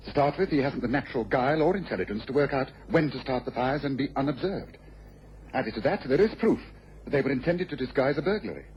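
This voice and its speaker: An actor playing an incisive, commanding gentleman detective in a radio drama, speaking with crisp authority. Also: mid-range voice, lightly acted. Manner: assured, crisp, authoritative deductions. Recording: dull, lo-fi old radio recording with faint hiss.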